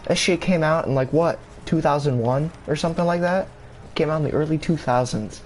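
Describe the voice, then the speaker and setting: A young man casually rambling in a gaming vlog, full of fillers, restarts and casual swearing, his voice jumping higher when he gets excited. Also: fairly quick, soft background music. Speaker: gaming YouTuber. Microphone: console camera mic. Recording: steady background noise.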